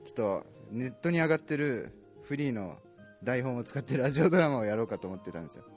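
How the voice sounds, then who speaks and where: A young man talks very quickly in an ordinary mid-range voice. He sounds like he is co-hosting a casual show among friends, with faint music underneath. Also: mid-range voice, lively intonation, darkish tone. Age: young adult. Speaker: co-host of an amateur talk show.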